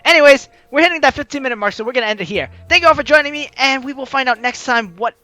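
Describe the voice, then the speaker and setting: A man doing a casual let's-play, reading story text aloud with chatty, expressive asides. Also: very high voice, racing. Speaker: game streamer. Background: game music.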